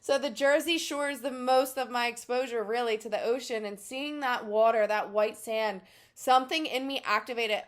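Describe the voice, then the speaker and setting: A woman in her thirties with a Philadelphia accent, talking casually to camera as a spiritual channel, rambling with many fillers. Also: medium pitch.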